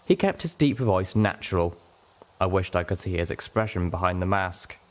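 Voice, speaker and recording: A man's voice: sits low, lively intonation, moderate pace. A man reading a scripted first-person story as an audiobook narrator.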